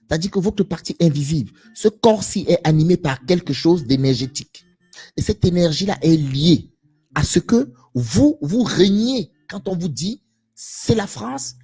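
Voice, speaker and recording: A man's voice: on the high side, vocal fry, mellow, slightly rough. A man giving an impassioned live monologue to viewers, emphatic and preachy, repeating phrases and asking rhetorical questions. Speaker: live stream speaker.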